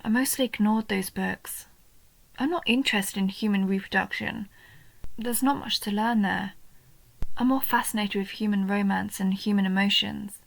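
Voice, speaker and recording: Woman acting a bubbly nerdy goblin girl, slightly creaky. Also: medium pitch, fairly even intonation, moderate pace, no room sound, natural voice. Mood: fascinated, earnest. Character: young female goblin, nerdy, bubbly, sweet, curious bookworm. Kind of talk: roleplay audio, intimate one-sided scripted dialogue.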